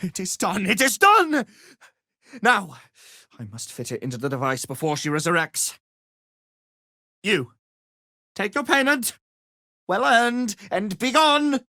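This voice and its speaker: An actor playing an arrogant, power-hungry wizard villain in a fantasy video game, with a haughty, theatrical, condescending manner. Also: male voice, sits high, big pitch swings, slow pace, long pauses, pushed and bright, no echo, clean studio sound, exaggerated voice. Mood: triumphant, curt.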